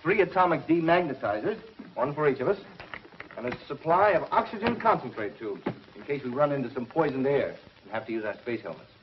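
Actor as authoritative 1950s space scientist, muffled soundtrack. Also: male voice, mid-range voice, animated, a little fast, lightly acted. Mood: genial. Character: authoritative, patient middle-aged male space scientist. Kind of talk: 1950s TV serial acting. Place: studio laboratory set. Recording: dull, noisy 1950s TV soundtrack.

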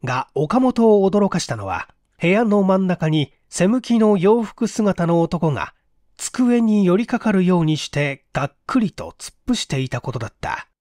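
Animated male mystery narrator, dry sound. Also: high voice, moderate pace, dry recording. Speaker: audiobook reader. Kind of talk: dramatic scripted narration of a mystery story.

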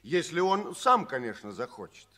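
Good-hearted folksy tramp for old radio play, high-pitched, forceful, exclaiming. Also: male voice, big pitch swings, normal speed. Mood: kindly, persuasive. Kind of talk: 1960s radio drama acting.

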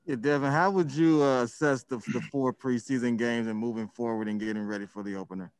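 A man, a sports reporter, asking questions haltingly and restarting his words. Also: medium pitch, steady tone, smooth, poor audio.

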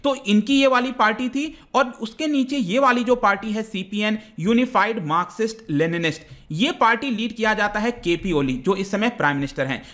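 A man's voice: high voice, fairly bright tone. A young man explaining news to exam students over slides, speaking directly to viewers and mixing in English terms. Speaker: current affairs teacher.

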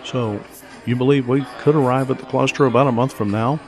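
A man's voice: mid-range voice, fairly even intonation, fairly quick, a little mellow, faint music behind, close to an everyday voice. An actor playing a polite, courteous male college professor of about fifty in an audio drama.

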